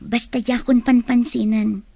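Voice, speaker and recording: A young student in an audio drama, speaking in a fairly high voice. She is troubled, evasive and caught up in her thoughts. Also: flat delivery, a little slow, creaky, dark tone. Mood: troubled, resigned. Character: young female student, troubled and evasive about fading love. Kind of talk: Filipino radio drama acting.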